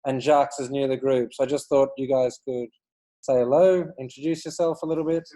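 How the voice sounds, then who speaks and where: Give me an easygoing man moderating a video call with a mellow voice. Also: mid-range voice, fairly even intonation, fairly quick, low-quality recording. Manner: easygoing, guiding introductions. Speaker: meetup organizer.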